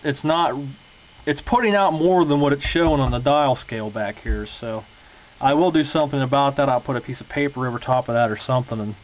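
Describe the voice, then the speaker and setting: A man's voice: medium pitch, fairly quick. A man talking casually and unscripted to viewers in a plain-spoken, folksy way, as a hobbyist showing his repair work. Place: indoors at a home workbench. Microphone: handheld camera's built-in mic. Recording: muffled lo-fi camcorder sound, clear hum.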